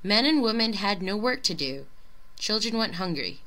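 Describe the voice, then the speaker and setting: A woman reading a children's picture book aloud in an expressive, dramatic storytelling manner with clear phrasing. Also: mid-range voice, moderate pace, poor audio. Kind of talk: expressive scripted storybook narration.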